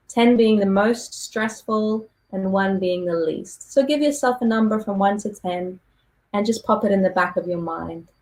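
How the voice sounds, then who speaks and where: A woman from Australia guiding an online meditation session, speaking softly and slowly with gentle pauses. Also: mid-range voice, low-quality recording. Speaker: singer and meditation guide. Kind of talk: guided meditation.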